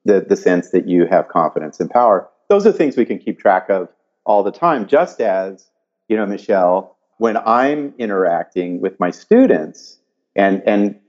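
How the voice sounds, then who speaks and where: An older man who grew up in California, a psychology professor answering interview questions in an animated, thoughtful way, often restarting his sentences. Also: sits low, moderate pace, dull audio.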